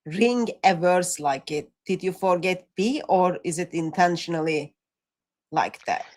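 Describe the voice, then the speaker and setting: A woman's voice: on the low side, unhurried, a little mellow. A woman co-hosting a live stream in casual chat. She teases and jokes with a dry, playful manner. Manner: teasing and playful, dry humor.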